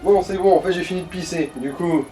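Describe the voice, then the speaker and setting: A man's voice: sits high, brisk, dark tone, soft background music. A man chatting casually as co-commentator while watching someone play a game. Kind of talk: casual chat over gameplay.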